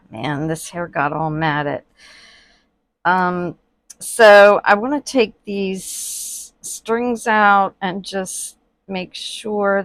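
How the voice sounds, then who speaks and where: A woman chatting casually while working at a table, with many fillers, softly murmuring and sniffling a little from allergies. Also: medium pitch, dry recording. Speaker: reborn doll artist. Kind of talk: casual crafting tutorial vlog. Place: indoors at a worktable.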